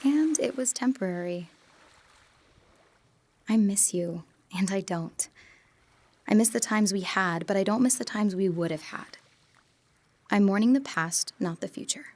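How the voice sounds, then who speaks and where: A woman's voice: mid-range voice, unhurried, long pauses, darkish tone, no echo, close to an everyday voice. A young woman playing a conflicted former girlfriend in an audio drama, earnest and hesitant in her delivery. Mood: wistful, bittersweet.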